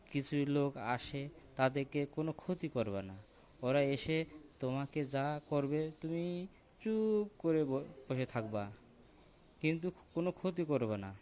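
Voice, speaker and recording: A man's voice: a little high, darkish tone, a little hiss or hum, close to an everyday voice. A man voicing a sly, scheming jackal in a cartoon, coaxing and instructing. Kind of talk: cartoon voice acting.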